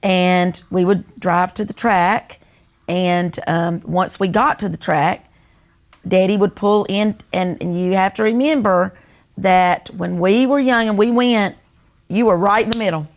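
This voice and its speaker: Creaky-voiced older Southern woman telling stories. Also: medium pitch, fairly even intonation, unhurried. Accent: North Carolina Southern American. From North Carolina, United States. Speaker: co-host. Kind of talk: casual storytelling, reminiscing.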